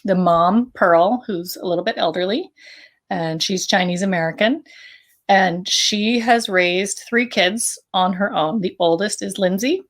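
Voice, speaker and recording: Middle-aged woman, mid-pitched voice, co-author casually pitching an audio drama. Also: unhurried. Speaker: co-author of a fiction podcast. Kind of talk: casual promotional talk about a story.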